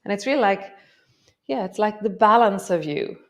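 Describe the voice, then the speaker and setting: A middle-aged woman, gently guiding a yoga class with a slightly stuffy nose. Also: medium pitch, animated. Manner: gentle, encouraging, drawn-out cues. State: stuffy nose from a coming cold. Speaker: yoga teacher. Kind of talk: guided yoga class instruction.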